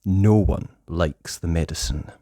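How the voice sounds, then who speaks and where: A storyteller reading a children's picture book aloud with expressive, measured scripted narration. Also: low-pitched, no room sound, clean studio sound.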